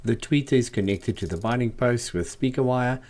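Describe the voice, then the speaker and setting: A man's voice: mid-range voice, brisk, darkish tone. A man hosting a do-it-yourself video, narrating in a scripted tutorial style. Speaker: host, DIY speaker builder.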